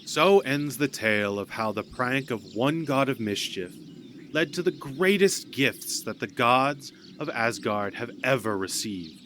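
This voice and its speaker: A man's voice: mid-range voice, expressive, a little slow, a bit bright. A man narrating a scripted story in a dramatic storytelling style. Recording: amateur recording with background noise.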